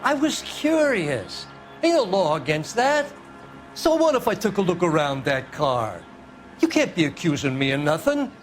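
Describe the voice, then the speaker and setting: Irritable old man, American accent, high pitch, folksy, defensive, video game, music behind. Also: sing-song, normal speed. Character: cranky, nosy old male witness in 1940s America.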